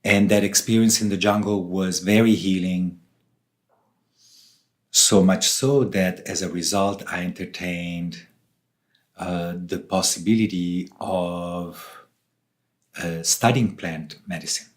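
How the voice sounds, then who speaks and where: A man from southern Switzerland with a low voice answers as an interview guest. He speaks very slowly and deliberately, setting out reflective thoughts in short phrases with pauses between them. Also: steady tone. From Switzerland, southern part. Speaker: author and ayahuasca facilitator, interview guest.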